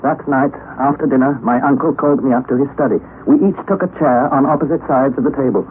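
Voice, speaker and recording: A man's voice: mid-range voice, fast talker, slight background noise, close to an everyday voice. Man playing an earnest young nephew, tinny old radio. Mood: grave, storytelling. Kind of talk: vintage radio drama acting and narration.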